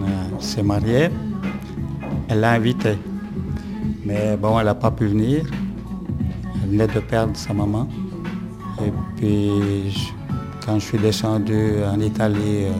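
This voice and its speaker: A man in his sixties, born in Italy, answering interview questions in a plain, halting way with many fillers. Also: on the low side.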